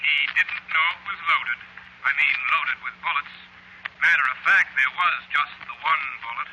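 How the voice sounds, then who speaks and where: Old-time radio: offhand, self-satisfied man on a hissy phone, highish and intensely forceful. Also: moderate pace. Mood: smug, offhand. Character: offhand, smug, gossipy man on a phone line. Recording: hissy vintage radio, telephone-line sound.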